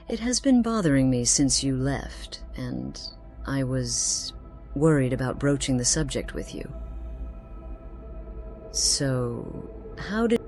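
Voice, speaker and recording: A woman voicing an aloof, guarded otherworldly companion in a fantasy game, speaking in formal, measured phrases. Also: a bass voice, darkish tone, heavily acted. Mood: relieved, tentative. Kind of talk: scripted fantasy game dialogue.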